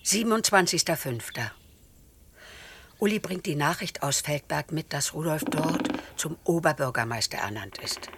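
A woman reading as an elderly mother keeping a wartime diary, calm and measured, in a documentary. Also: deep voice, noisy background. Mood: sober.

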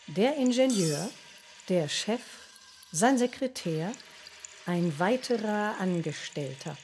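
A woman reading scripted radio feature narration in a measured, terse manner. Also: medium pitch, animated, darkish tone, slight background noise. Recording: music under the voice. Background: music.

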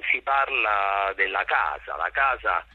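A raspy-voiced man explaining his work reflectively as a theatre director in an interview, halting and repeating phrases as he searches for words. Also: fairly low pitch, lively intonation, sharp tone, dry recording. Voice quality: gravelly, raspy. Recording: dull lo-fi radio or telephone sound.

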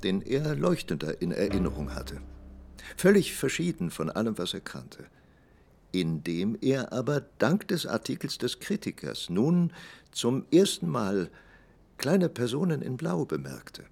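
Slow, creaky-voiced man narrating, over music. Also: medium pitch, very animated, darkish tone. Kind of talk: literary radio drama narration.

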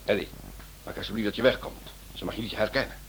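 An actor playing a shrewd, courteous writer and amateur sleuth in a radio play, with an animated, probing manner. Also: male voice, on the low side, natural voice. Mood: brisk. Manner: animated, polite, probing, swings between brisk and hesitant. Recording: noisy old radio drama recording.

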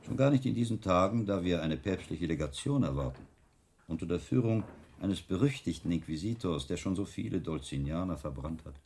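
A middle-aged monk investigator in a radio play. His voice is very deep and carries calm authority. He questions in a measured, pointed and shrewd manner. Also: slight background noise. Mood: calm, veiled threat. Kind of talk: radio drama acting.

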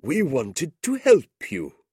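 A man's voice: a little high, huge pitch swings, slow, dark tone, husky, no room sound, clean studio sound, voice pushed up, heavily acted. A man doing a reproachful old merchant. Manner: reproachful, raised voice. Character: kindly elderly merchant, stern with a cruel man.

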